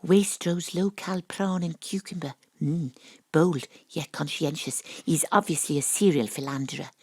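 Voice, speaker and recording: A woman's voice: deep voice, moderate pace, darkish tone, breathy edge, dry recording. A woman playing a brash, cocksure amateur detective in an audio drama, narrating theatrically with a knowing, conspiratorial manner. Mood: hushed, knowing.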